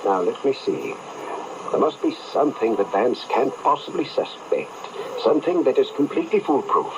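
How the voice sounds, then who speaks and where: An actor playing a suave, calculating criminal professor in a 1940s radio crime drama, speaking in deliberate, formal phrases. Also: male voice, medium pitch, big pitch swings. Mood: scheming. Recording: dull, noisy old radio broadcast over AM.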